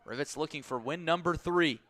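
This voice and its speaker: Bright, punchy male baseball play-by-play announcer. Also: on the high side, a little fast, no room sound. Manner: clipped, punchy phrases that build with the action. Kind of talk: live sports play-by-play commentary.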